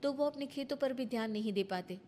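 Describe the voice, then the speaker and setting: A woman's voice: high voice, a little fast, mellow, a little hiss or hum. A woman reading scripted story narration as a storyteller.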